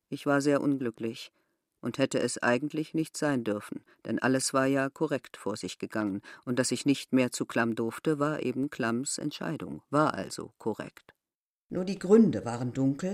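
A middle-aged innkeeper from a village, a proud and wistful woman. She speaks with grave, deliberate insistence in the manner of a literary radio drama, devoted to a love long past. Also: rumbling low.

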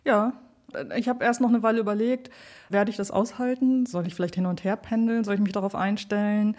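A woman's voice: medium pitch, fairly even intonation, a little fast, darkish tone. A woman giving thoughtful, explanatory answers in a conversational interview, as an artist talking about her work. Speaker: interviewed sound artist and radio play maker.

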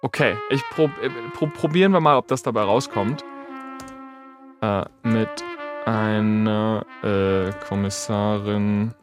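A man's voice: lowish voice, takes it slowly, over music. A man explaining things casually as an expert guest on a podcast, thinking aloud as he gives hands-on advice.

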